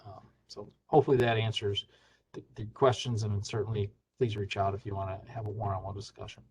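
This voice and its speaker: Low-voiced man explaining on a webinar. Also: flat delivery, a little fast, darkish tone, slight background noise. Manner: conversational, many fillers and restarts. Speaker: state hazard mitigation staff presenter. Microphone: video call.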